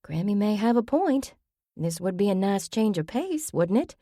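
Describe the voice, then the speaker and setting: A woman voicing a gentle, agreeable middle-aged mother in an audiobook, speaking softly. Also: medium pitch, very animated, moderate pace, dry recording, studio quality, voice pushed up.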